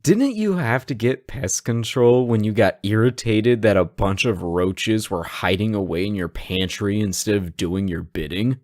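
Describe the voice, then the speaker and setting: A man's voice: on the low side, unhurried, darkish tone, no echo, clean studio sound, lightly acted. A man acting a sarcastic, teasing hostage who taunts a supervillain in a conversational, theatrical roleplay audio drama. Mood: incredulous, mocking.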